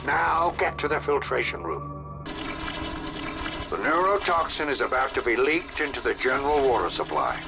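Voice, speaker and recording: An actor playing an older male intelligence chief in a video game, formal, articulate and smoothly treacherous. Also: medium pitch, big pitch swings, moderate pace. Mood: businesslike. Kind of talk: video game cutscene acting. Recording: dialogue under loud music.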